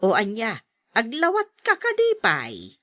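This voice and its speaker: A woman's voice: fairly high pitch, huge pitch swings, unhurried, a bit bright, no room sound, full character voice. A woman acting a reproving mother who admonishes her daughter in a radio drama.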